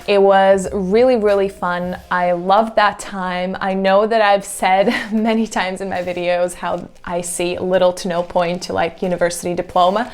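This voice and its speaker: A woman's voice: medium pitch, clean tone. Chatty young woman from Latvia. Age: 20s. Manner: chatty and reflective, laughs at herself. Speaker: YouTuber. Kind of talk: casual get-to-know-me vlog.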